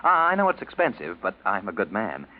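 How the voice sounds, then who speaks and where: An actor playing a slick, mercenary lawyer in a 1940s radio drama, glib and insinuating, on a thin old recording. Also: male voice, lowish voice, huge pitch swings, fairly quick, phone-quality sound. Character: slick, mercenary male lawyer, brisk and knowing.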